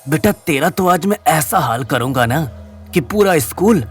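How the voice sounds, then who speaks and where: Man playing a cruel college bully, higher-pitched. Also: lively intonation, measured pace, soft background music. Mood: menacing. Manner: crude, threatening, taunting. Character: cruel young male college gang-leader bully, menacing. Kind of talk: Hindi audio story character acting.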